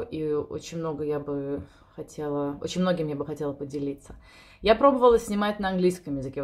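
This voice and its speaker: A woman, chatting spontaneously and a little self-ironically to camera from her home kitchen. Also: fairly low pitch. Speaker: vlogger. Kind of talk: spontaneous casual chat to camera. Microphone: camera or phone on the table.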